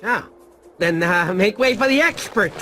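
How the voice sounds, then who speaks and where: High, goofy, slow-drawling cartoon sloth. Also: male voice, animated, bright, forceful tone, faint music behind, heavily acted. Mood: reluctant. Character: goofy, clumsy, reluctant male cartoon sloth.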